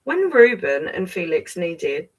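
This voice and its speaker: A woman's voice: fairly low pitch, big pitch swings, husky, far from the mic, rough recording. A woman reading a picture book aloud to children, with playful, chatty asides and exclamations. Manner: playful, chatty, exclaiming, drawn-out words for emphasis. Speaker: children's story reader.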